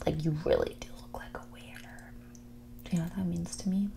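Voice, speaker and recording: Casting: female performer as snobbish, mean rich young date for ASMR roleplay; half-whispered, creaky, bored and condescending drawl. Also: fairly low pitch, huge pitch swings, a little fast, mellow, exaggerated voice. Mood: dismissive. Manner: condescending, drawling, bored, valley-girl filler "like".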